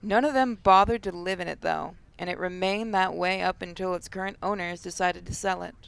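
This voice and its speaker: Creaky yet clear-voiced female gamer. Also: fairly low pitch, brisk, rough recording. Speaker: gaming video host. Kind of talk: game playthrough, reading narration aloud with casual commentary.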